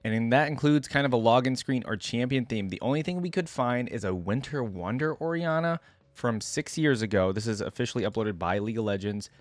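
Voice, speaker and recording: A man's voice: medium pitch, fairly quick, dry recording. A man hosting a reaction video, talking casually and thinking aloud as he reads and comments in a conversational way.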